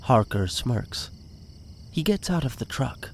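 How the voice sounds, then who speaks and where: A man's voice: medium pitch, sing-song, slow, very noisy. A man reading scripted narration for an audio drama. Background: music.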